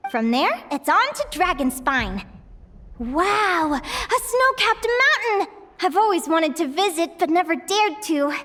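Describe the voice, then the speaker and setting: A woman voicing a cheerful, adventurous young girl in a video game, with a bubbly, expressive manner. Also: very high-pitched, slow, no room sound, heavily acted. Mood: wistful, wondering. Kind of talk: animated game voice acting, narrating diary and thinking aloud.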